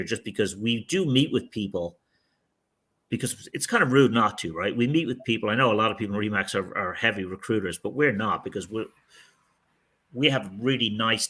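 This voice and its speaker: A man's voice: on the low side, bright, forceful tone, slightly rough. A middle-aged man, a real estate team leader, giving candid, rambling interview answers with frequent restarts. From outside the United States.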